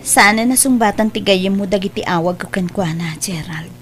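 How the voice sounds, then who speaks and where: A woman's voice: mid-range voice, moderate pace, music in the background, natural voice. A woman playing a suspicious, hurt young woman who confronts her suitor pointedly in a radio drama. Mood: distrustful. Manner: accusing, pointed.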